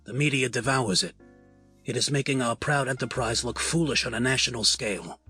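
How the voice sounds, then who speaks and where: Man playing a sharp, commanding mafia patriarch. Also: medium pitch, breathy edge, faint music behind. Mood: stern authority. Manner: measured, authoritative, formal. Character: stern, formal male mafia patriarch, commanding and controlled. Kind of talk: scripted audio drama acting.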